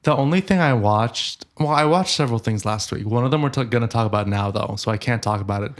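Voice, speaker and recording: A man's voice: medium pitch, fast talker. A young man from Los Angeles with a Californian accent, chatting casually as a podcast co-host. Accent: Californian American. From Los Angeles, United States. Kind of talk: casual chat.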